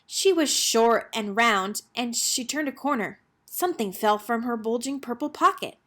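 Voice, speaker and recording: Female read-aloud narrator for children's books, higher register, very lively intonation. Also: moderate pace. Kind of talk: expressive children's picture book narration.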